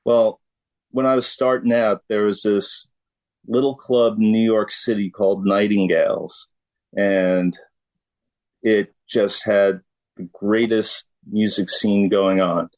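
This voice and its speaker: Slow-talking, low-pitched middle-aged man. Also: steady tone, a little mellow, poor audio. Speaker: interview guest, drummer. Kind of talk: casual interview talk.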